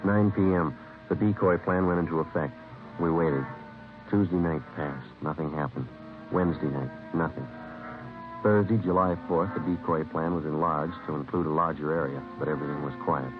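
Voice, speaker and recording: Police sergeant, very deep, terse and deadpan, vintage radio crime drama on a narrow-band old recording. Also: male voice, moderate pace, music in the background. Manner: terse, deadpan, short clipped sentences. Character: deadpan police sergeant narrating his cases, clipped, businesslike. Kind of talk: 1950s radio crime drama acting and narration.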